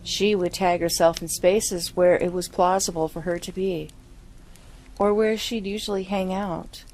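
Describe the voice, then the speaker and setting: Low-voiced woman narrating horror. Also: fairly even intonation, a little slow, a little mellow, slight background noise, rough recording. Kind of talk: scripted first-person horror story narration.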